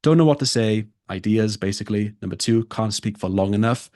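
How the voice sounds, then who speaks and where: A man's voice: fairly low pitch, fairly quick, darkish tone, no echo, studio quality. A man who tutors for a test, teaching a live online lesson and repeating his key points for emphasis.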